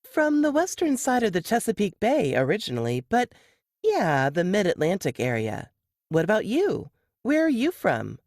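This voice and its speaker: Reader as friendly café woman, animated swooping pitch, easygoing, helpful, dry close sound, novel dialogue. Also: moderate pace, no echo. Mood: friendly, curious. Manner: chatty, helpful, casual. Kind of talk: audiobook character dialogue.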